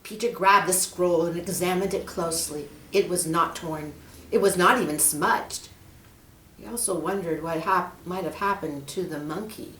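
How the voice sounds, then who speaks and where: A woman's voice: on the low side, moderate pace, a bit bright, distant mic, slight background noise. A woman reading a storybook aloud expressively, with asides addressed to young listeners. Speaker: children's story reader. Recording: roomy, echoing indoor sound.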